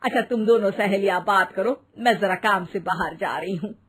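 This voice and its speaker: A woman's voice: mid-range voice, normal speed, a bit bright, breathy edge. A woman voicing a caring but scolding older mother-in-law in a homely, exclaiming manner. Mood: kindly.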